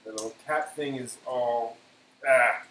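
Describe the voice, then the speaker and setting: Man, live stream host, casual cooking chat, halting self-talk, roomy echo, background noise. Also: mid-range voice, steady tone, fairly bright tone. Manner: halting, self-talking, trailing off. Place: indoor kitchen. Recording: echoey room, background noise.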